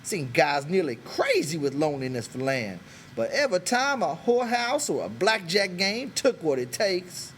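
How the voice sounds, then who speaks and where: A man voicing a bitter, proud American stable hand who talks scornfully and forcefully, in a dramatic audiobook style. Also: fairly high pitch, sing-song, normal speed, a little hiss or hum, voice pushed up. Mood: wary, hopeful. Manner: scornful, brutal, forceful rural American talk.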